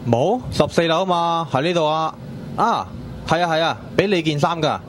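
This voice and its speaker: A man's voice: fairly high pitch, lively intonation, moderate pace, fairly bright tone, slight background noise. A man playing an earnest takeaway delivery worker in a Cantonese radio drama. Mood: matter-of-fact.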